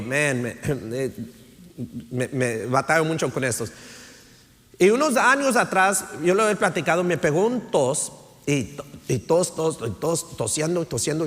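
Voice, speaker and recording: A man's voice: fairly high pitch, fairly bright tone. A man, preaching a sermon as pastor, mixing in English words and asking "right?" to check in with his listeners. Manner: switches between Spanish and English, says "right?" often; preaching with rising emphasis, tells stories. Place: church sanctuary. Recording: church hall reverb.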